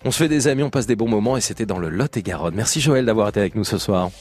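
A man's voice: on the low side, expressive, racing, slightly rough, soft background music. A man hosting a live radio phone-in show, upbeat and encouraging with his callers. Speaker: radio host.

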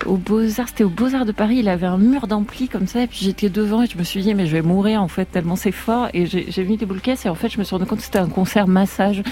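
A woman's voice: medium pitch, quick pace, hardly any pauses, warm tone. A woman musician guest on a radio show, speaking thoughtfully and with frequent hesitations. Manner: thoughtful, reflective, many hesitations. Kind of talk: radio interview conversation.

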